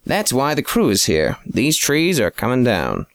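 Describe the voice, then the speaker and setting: A man's voice: medium pitch, huge pitch swings, unhurried, no room sound, clean studio sound. A man voicing a smug, stubborn crew boss who lectures and brags. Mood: lecturing. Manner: cocky, lecturing.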